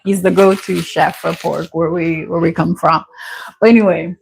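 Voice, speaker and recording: A woman's voice: lowish voice, fairly even intonation, moderate pace, vocal fry, darkish tone, a little hiss or hum, poor audio. A middle-aged woman with a Jamaican accent chats casually and candidly as she hosts a home cooking vlog, with a playful manner. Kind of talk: casual chatty cooking commentary. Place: home kitchen.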